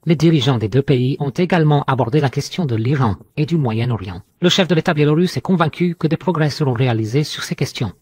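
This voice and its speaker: A man reading scripted news in a formal, measured way, as a news reader. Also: on the high side, darkish tone, slightly rough, no room sound.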